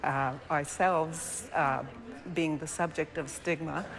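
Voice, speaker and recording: A woman speaks as a medical expert in a live news interview, explaining earnestly and haltingly, with pauses to find words. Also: sits low, fairly even intonation, gravelly, crowd noise. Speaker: physician, clinical director, interview guest.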